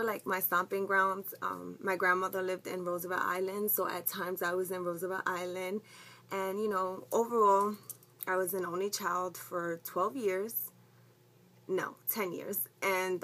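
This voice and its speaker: A woman, chatting to camera from home. Her voice sits fairly low and stays even in pitch. She rambles loosely, filling gaps with ums and likes. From the Bronx, New York. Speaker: vlogger. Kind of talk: casual chat to camera. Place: at home, indoors.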